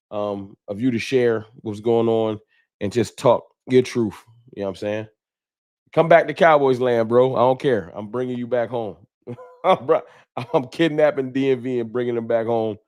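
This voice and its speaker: A man's voice: medium pitch, a little mellow, no room sound, clean studio sound. An American man hosting a sports fan livestream, talking casually and candidly to his viewers in informal, colloquial speech. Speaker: livestream host, sports fan content creator. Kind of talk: casual livestream talk to viewers, reading chat.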